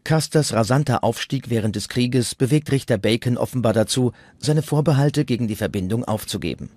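A man's voice: medium pitch, expressive, a little fast, a little mellow, no room sound. A man narrating a historical documentary from a script. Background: music.

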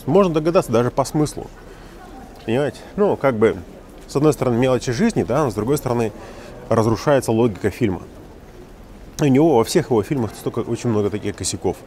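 A man's voice: medium pitch, quick pace. An outdoor vlog from a man in his forties, filmed on a phone at arm's length as he walks a city street at night. He speaks in a mid-range voice and is emphatic and mocking, pressing each point like a teacher with a grievance. Faint traffic hums behind him. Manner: animated, emphatic, mocking rant. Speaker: interpreter and English teacher. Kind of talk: casual walking vlog commentary. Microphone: phone at arm's length with wireless earbuds.

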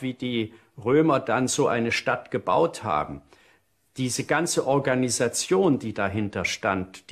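A man speaking unscripted as an expert, explaining in an interview. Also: mid-range voice.

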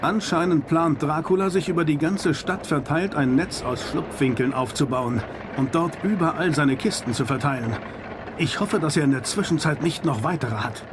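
An actor playing an authoritative vampire hunter, speaking earnestly and declaiming in a German audio drama. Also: male voice, on the high side, moderate pace, noisy background, close to an everyday voice. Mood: grave resolve. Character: resolute, authoritative male vampire hunter leading his friends.

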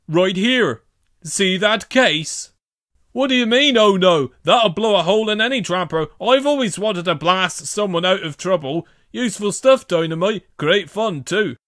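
A reckless, teasing cartoon paddle boat , voiced by a man. The voice is high, bright and excitable, recorded dry, with a cheeky swagger. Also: dry recording, full character voice. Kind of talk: cartoon character voice acting.

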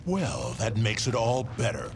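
A deep, low-voiced gang boss, arrogant and cruel. His pitch swings widely as he swaggers and threatens. Game audio with a steady hiss behind it. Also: measured pace, exaggerated voice. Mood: furious. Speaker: gang leader. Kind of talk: video game cutscene acting.

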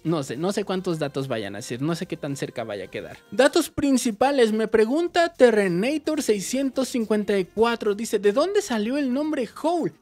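Chatty Mexican man in his thirties. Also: high-pitched. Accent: Mexican Spanish. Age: 30s. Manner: chatty, animated, waves arms while talking. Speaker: YouTube gaming channel host. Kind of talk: casual Q&A vlog. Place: small indoor room with game shelves.

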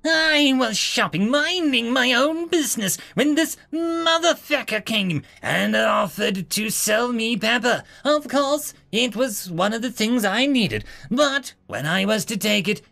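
A man voicing a shrill, entitled human woman who shouts her demands at an officer in an audio story. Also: gravelly, full character voice. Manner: shrieking, demanding, furious.

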